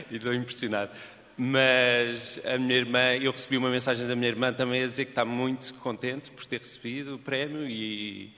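A man's voice: medium pitch, bright, forceful tone. A man with a European Portuguese accent giving a short, hesitant, modest acceptance speech. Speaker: award recipient on his sister's behalf. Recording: lo-fi with long hall reverb.

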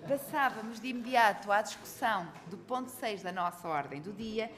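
Woman, northern portuguese accent, committee chair, brisk formal procedure, faint background noise, slightly echoey room. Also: medium pitch, fairly bright tone. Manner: brisk, procedural, reads out agenda items. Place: parliamentary committee room with some echo.

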